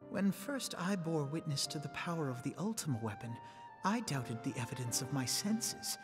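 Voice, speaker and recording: An actor playing an earnest, courteous young scholar in a fantasy game cutscene, speaking in polite, eloquent, formal phrases. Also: male voice, fairly high pitch, expressive, moderate pace, music in the background, close to an everyday voice. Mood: incredulous. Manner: polite, articulate, formal archaic speech. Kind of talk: dramatic scripted game cutscene acting. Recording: long echoing reverb.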